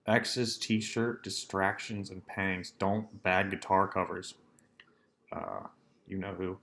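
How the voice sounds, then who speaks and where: A young man with a deep, low-pitched voice talks alone on his podcast. He rambles from one aside to the next in a dry, deadpan way, filling the gaps with plenty of ums. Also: slow, gravelly, slight background noise. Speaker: solo podcast host. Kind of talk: rambling solo podcast chat.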